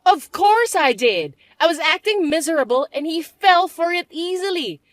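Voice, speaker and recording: A woman voicing a spoiled young stepsister who taunts and bosses in a shrill, mocking way. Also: animated, normal speed, no echo, clean studio sound. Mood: taunting.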